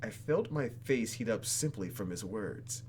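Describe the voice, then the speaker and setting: A man's voice: fairly low pitch, animated, unhurried, a little mellow. A man hosting a gaming video, reading game text aloud and adding theatrical, jokey asides, with some noise behind him.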